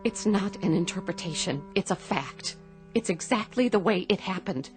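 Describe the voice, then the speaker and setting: A woman's voice: medium pitch, animated, normal speed, soft background music, natural voice. An actress playing an indignant doctor's wife in a radio drama, fiercely defending her husband with pleading, accusatory emphasis. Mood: indignant, insistent.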